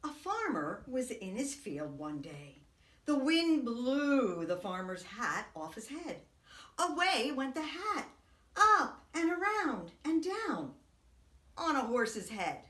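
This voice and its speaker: A woman reads a children's story like a preschool teacher, with bright, very lively swoops in pitch. Her delivery is slow and expressive, made for small listeners. The room around her is echoey. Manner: expressive, dramatic whispers and swoops. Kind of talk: animated read-aloud storytelling for young children.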